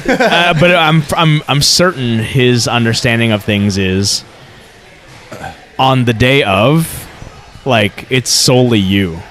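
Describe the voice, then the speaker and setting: A man's voice: medium pitch, takes it slowly, fairly bright tone. Rambling podcast host, man. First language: Vietnamese. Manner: rambling, self-correcting questions. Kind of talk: casual podcast interview.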